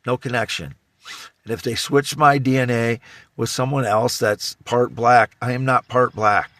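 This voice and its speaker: A man in his fifties from Iowa with a Midwestern American accent and a scratchy voice, rambling in a halting, repetitive, insistent monologue. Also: mid-range voice, steady tone, fairly bright tone, no echo. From Iowa, United States. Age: 50s. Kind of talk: rambling self-recorded monologue.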